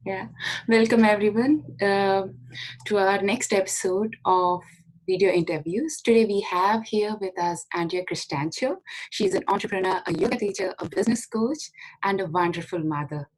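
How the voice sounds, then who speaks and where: A woman's voice: medium pitch, normal speed, rough recording. Polite woman hosting an interview. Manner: polite and welcoming, with short encouraging reactions. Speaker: interview host.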